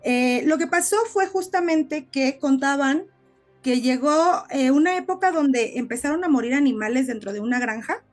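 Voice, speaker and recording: Female podcast co-host, Mexican accent, high voice, chatty storyteller, light music underneath. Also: expressive, moderate pace. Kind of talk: casual conversational storytelling.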